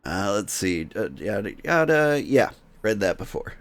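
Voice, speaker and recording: A man's voice: fairly low pitch, big pitch swings, a little slow. A man doing a playthrough of a story game, mixing casual asides with reading aloud over music.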